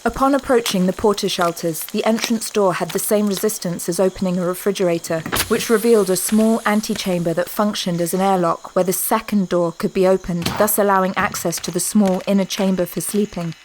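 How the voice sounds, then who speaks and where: A woman's voice: mid-range voice, steady tone, fairly quick, hardly any pauses, a little hiss or hum, low-quality recording. A woman narrating an audio drama from a script, as a storyteller between scenes. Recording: clear ambient sound behind.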